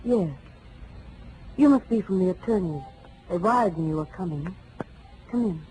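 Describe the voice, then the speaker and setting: An actress playing a poised, candid, wistful young widow in an intimate, dramatic 1940s radio style. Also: female voice, lowish voice, animated, moderate pace, dark tone, faint music behind, close to an everyday voice, poor audio. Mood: poised, guarded. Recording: vintage 1940s radio, faint noise.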